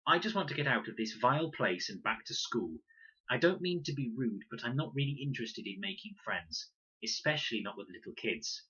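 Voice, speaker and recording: Man voicing a snappy, scornful schoolgirl, pitched up. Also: animated, fairly quick, pushed and bright, breathy edge, highs cut off. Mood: irritated. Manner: sarcastic, snappy. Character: defiant, scornful, prickly schoolgirl.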